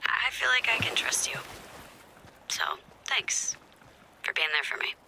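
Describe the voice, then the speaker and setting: A woman's voice: mid-range voice, animated, measured pace, pushed and bright, a little hiss or hum, low-quality recording. A woman voicing an earnest, resolute resistance fighter in a video game, speaking sincerely and with emphasis. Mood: vulnerable, sincere.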